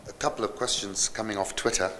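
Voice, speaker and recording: A man moderating a talk, reading out questions with halting fillers. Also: fairly low pitch, fairly even intonation, bright, forceful tone, a little hiss or hum. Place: conference hall. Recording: hall reverb with faint ambience.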